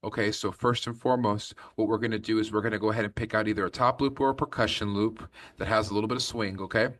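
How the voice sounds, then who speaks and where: A man's voice: lowish voice, fairly even intonation, fast talker, a bit bright, distant mic. A man hosting a music production tutorial, explaining step by step and often checking in as he goes. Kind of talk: instructional tutorial narration.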